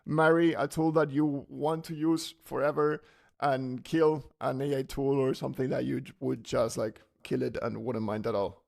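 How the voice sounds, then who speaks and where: A man's voice: mid-range voice, fairly even intonation, normal speed, creaky, a little mellow. A man co-hosting a podcast in a casual, chatty interview style. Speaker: podcast co-host.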